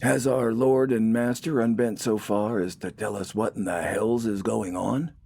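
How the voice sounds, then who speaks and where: A man's voice: lowish voice, steady tone, unhurried, vocal fry, a little mellow, no room sound, voice pushed up. A man voicing a wry, weary older farmer turned militiaman, stubbornly outspoken in a folksy, grumbling way. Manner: folksy, grumbling, plain-spoken.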